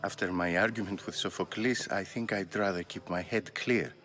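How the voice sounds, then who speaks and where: An actor playing a proud, defensive ancient Greek playwright in a game cutscene, haughty in manner. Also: male voice, sits low, fairly even intonation, moderate pace, fairly bright tone, soft background music. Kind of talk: video game cutscene acting.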